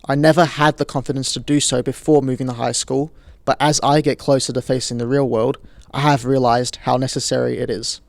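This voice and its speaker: A young man in his late teens reads a reflection in a composed, measured way. His pitch sits in the middle and the sound is dry and close. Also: little pitch movement, creaky, dry recording. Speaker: student leaving high school. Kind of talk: reading a prepared reflection.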